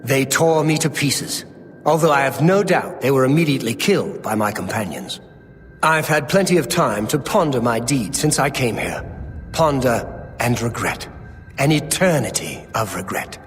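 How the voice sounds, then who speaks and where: An actor playing a regretful undead cultist in a fantasy game, speaking in an earnest, dramatic, haunted manner. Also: male voice, mid-range voice, sing-song, normal speed, natural voice. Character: regretful male undead cultist, once a raider, pleading. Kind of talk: theatrical fantasy game dialogue. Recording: reverberant hall, faint music behind.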